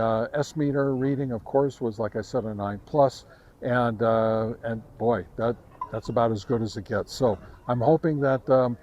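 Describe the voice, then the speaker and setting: A man hosting a casual product review, speaking haltingly to his viewers and repeating words for emphasis. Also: medium pitch, darkish tone. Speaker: host reviewing radio antennas.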